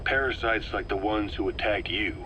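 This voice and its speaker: Low-voiced, calculating veteran spy. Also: male voice, sharp tone, rough recording. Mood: grave, ominous. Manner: measured, deliberate, dramatic pauses. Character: calm, shrewd veteran Soviet spy briefing an old comrade. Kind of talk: dramatic scripted briefing narration. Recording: faint background noise on cassette briefing.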